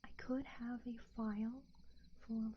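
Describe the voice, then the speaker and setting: A woman speaking softly and often whispering into a close binaural microphone, chatting gently and casually as a live ASMR host. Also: a little high, level tone. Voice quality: soft, breathy, often whispering. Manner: gentle, musing, unhurried. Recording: dull sound with faint background noise.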